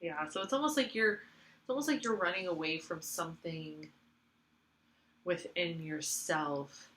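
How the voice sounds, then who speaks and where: A woman's voice: deep voice, fairly bright tone, rough recording. A woman giving a casual tarot reading, congested from allergies, rambling with lots of fillers and repeating herself. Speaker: tarot reader.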